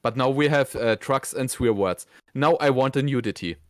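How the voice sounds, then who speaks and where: A man co-hosts a review in a casual, conversational style. His voice is smooth and clear at a medium pitch, recorded close and dry. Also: normal speed, dry recording. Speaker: co-host of a review show.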